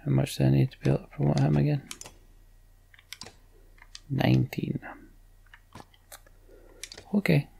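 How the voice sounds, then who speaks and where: A man's voice: mid-range voice, completely flat delivery, a little slow, vocal fry, pauses often, mellow, slight background noise. A man hosting a let's play, casually muttering his thoughts in short fragments and reading story text aloud.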